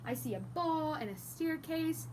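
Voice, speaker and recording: A woman teaching young children through an interactive read-aloud, animated and exaggerated, prompting with questions and praise, with some background noise. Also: sits high, a little slow, low-quality recording. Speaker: teacher reading a picture book aloud to young pupils.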